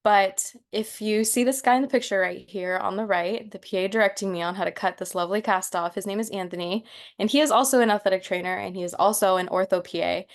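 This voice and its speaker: A woman's voice: mid-range voice, quick pace. A young woman in her twenties, born in Mexico, giving an encouraging, casual presentation on a video call with fillers. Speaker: PA student speaker. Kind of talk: online workshop presentation.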